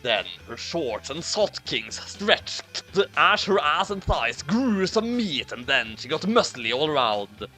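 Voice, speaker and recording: A man's voice: a little high, very animated, takes it slowly, few pauses, bright, forceful tone, faint music behind, rough recording. A man narrating a comedic story in a dramatic, theatrical reading style.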